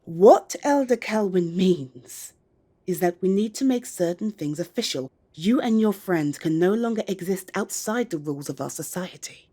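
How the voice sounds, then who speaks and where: A stern council elder, voiced by a woman, measured and formal with an air of command. Her voice sits a little low. She keeps order in this audio drama. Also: lively intonation, mellow, husky.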